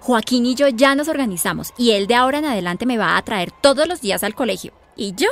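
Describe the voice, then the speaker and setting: A woman's voice: a little high, lively intonation, fast. A giddy teenage Colombian schoolgirl. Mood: giddy, proud. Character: giddy seventeen-year-old pregnant schoolgirl in love. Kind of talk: radio soap acting.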